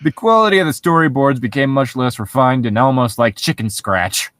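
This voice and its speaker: A man's voice: mid-range voice, brisk, fairly bright tone, no room sound. A man co-hosting a show, reading stories aloud and breaking off for wry, nitpicking comments in a casual way. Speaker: podcast co-host reading and mocking internet horror stories.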